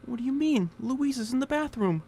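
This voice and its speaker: A man's voice: very high voice, expressive, fairly quick, warm tone, slight background noise. An actor playing a meek, hapless, flustered man in a radio drama, speaking hesitantly with stammers. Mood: shocked, flustered.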